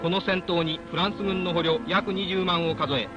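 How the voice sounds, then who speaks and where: High-pitched man, 1940s Japanese newsreel narrator. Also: fairly even intonation, moderate pace, muffled recording. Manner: declamatory, solemn, dramatic delivery. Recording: old 1940s film soundtrack, loud music behind. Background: loud music.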